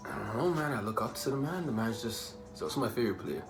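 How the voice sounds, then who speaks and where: A man's voice: medium pitch, lively intonation, quick pace, music in the background. A young man from the Bahamas answering viewer questions in a casual, joking, slang-filled vlog chat. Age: young adult. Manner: laid-back, joking, streetwise slang.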